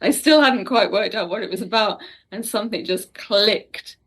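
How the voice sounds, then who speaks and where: Woman chatting at medium pitch. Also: moderate pace, fairly bright tone, breathy edge. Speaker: audio drama writer, podcast guest. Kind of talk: casual anecdotal chat.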